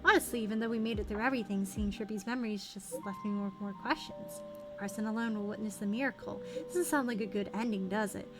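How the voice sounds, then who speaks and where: A woman voicing an earnest, pensive young hero from a fantasy game in a soft, thoughtful manner. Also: mid-range voice, fast talker, voice pushed down, natural voice. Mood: pensive, troubled. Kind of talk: reading game dialogue aloud. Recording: game music behind the voice.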